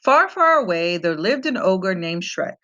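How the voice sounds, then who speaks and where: A woman reading a children's fairy tale aloud as an expressive storyteller. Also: lowish voice, measured pace. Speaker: storybook reader. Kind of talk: scripted children's read-aloud narration. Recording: muffled, dull lo-fi home recording.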